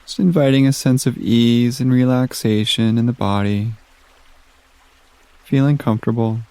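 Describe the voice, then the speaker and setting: A man leading a guided meditation in a gentle, soothing manner, giving soft instructions with long pauses. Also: mid-range voice, clear, resonant tone, dry recording.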